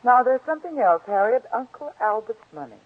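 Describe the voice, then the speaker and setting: A woman's voice: on the high side, animated, brisk, phone-quality sound. A woman playing a brazen, coolly confident young blackmailer in a 1940s radio drama, with an arch, insinuating delivery. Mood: greedy, confident. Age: young adult. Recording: band-limited old radio recording.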